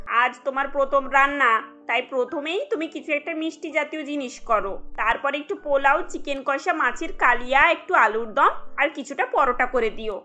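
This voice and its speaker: A woman's voice: high-pitched, sharp tone. A woman voicing a proud, bossy middle-aged mother-in-law in a cartoon story, fussing and instructing.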